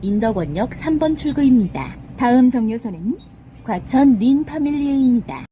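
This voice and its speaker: A woman's voice: fairly high pitch, sing-song, normal speed. A woman reading scripted bus stop announcements in a formal, carefully enunciated way. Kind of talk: scripted public transit announcement. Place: played over speakers inside a moving bus. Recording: noisy recording of bus cabin speakers. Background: loud vehicle and road noise.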